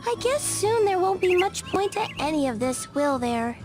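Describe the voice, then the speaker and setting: A woman voicing a dreamy, eerily cheerful camp girl in a cartoon video game, with a wistful, drawn-out manner. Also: extremely high pitch, sing-song. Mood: perky. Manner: wistful, drawn-out, seeking agreement. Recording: loud game music behind.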